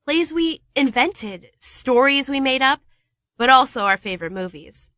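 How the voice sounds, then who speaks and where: A woman's voice: high voice, animated, unhurried, sharp tone, no room sound, studio quality, heavily acted. A woman playing Diana, a chatty, teasing wife living in a remote cottage. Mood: nostalgic, cheerful. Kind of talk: amateur radio play.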